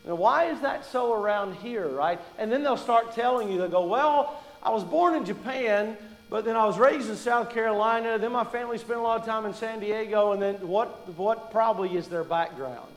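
High-voiced pastor from Kentucky, joking. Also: male voice, moderate pace, long reverb tail, poor audio. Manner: folksy, joking storyteller. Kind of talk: sermon.

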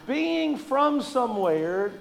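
A man's voice: very high voice, big pitch swings, takes it slowly, vocal fry, in a large hall, crowd noise. A man from Kentucky preaching a sermon as pastor, folksy and joking as he teaches.

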